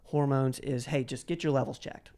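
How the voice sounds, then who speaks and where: A man's voice: medium pitch, fairly even intonation, moderate pace, warm tone. Guy hosting a casual interview show, kinda rambly, repeats words, really into it. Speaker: podcast host and interviewer.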